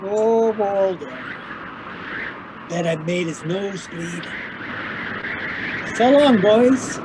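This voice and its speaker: Grinning drunk gunman: raised pitch, slow cocky taunts, noisy ambience, noir radio play. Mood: menacing, gloating. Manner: demanding, taunting. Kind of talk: noir audio drama acting.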